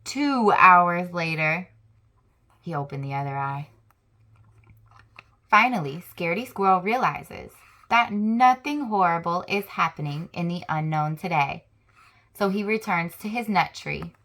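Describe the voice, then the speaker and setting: Slow, expressive woman reading aloud to kids. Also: lowish voice. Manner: expressive, lively reading with asides to young listeners. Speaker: children's book reader. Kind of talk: children's picture-book read-aloud.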